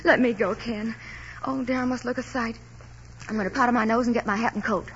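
An actress playing a devoted, stubborn young wife in a 1940s radio drama, speaking earnestly and emotionally. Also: female voice, on the high side, fairly even intonation, a little fast, fairly bright tone. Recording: dull lo-fi old radio recording with faint hiss.

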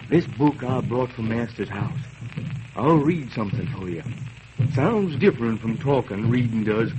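A man's voice: mid-range voice, animated, a little slow, mellow, slight background noise, close to an everyday voice, poor audio. An actor playing a resolute enslaved man destined to free his people, speaking earnestly and solemnly in an old radio drama. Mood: tender. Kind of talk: 1940s radio acting.

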